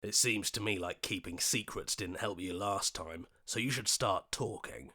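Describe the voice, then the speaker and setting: A man voicing a level-headed, questioning hero in a video game, speaking earnestly in short deliberate lines. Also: on the low side, pushed and bright. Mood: questioning, suspicious.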